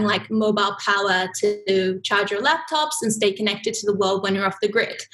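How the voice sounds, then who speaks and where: A woman from Australia whose accent has softened after years in Sweden, talking as a guest in an interview, chatty and full of likes and ums. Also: on the high side, low-quality recording. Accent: mild Australian, softened by years in Sweden. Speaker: guest, content marketing manager. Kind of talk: remote podcast interview.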